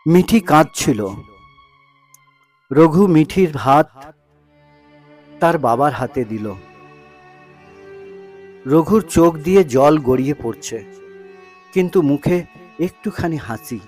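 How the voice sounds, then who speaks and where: A man narrating a scripted story in a dramatic, emotive way, pausing between short phrases. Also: fairly high pitch, expressive, darkish tone, faint music behind.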